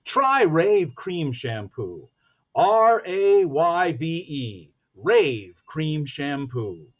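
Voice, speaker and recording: A man acting a 1940s radio advertisement announcer with a deliberate, emphatic sales delivery, in slightly dull audio. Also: fairly high pitch, big pitch swings, exaggerated voice. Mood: earnest selling. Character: smooth earnest 1940s radio shampoo announcer. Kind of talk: scripted vintage radio advertisement. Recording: slightly dull, narrow-band sound.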